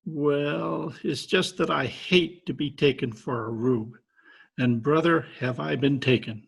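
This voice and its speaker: Man playing a gravelly, aggrieved small-town fisherman. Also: fairly high pitch, full character voice. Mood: aggrieved, folksy. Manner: folksy, halting. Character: excitable, aggrieved small-town lobsterman from Maine. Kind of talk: old-time radio detective drama acting. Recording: remote video-call recording.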